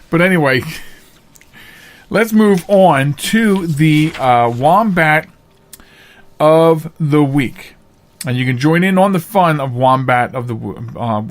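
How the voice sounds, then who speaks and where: A man hosting a podcast with casual, chatty monologues to listeners, talking in a folksy, rambling showman's way. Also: fairly high pitch. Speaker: podcast host.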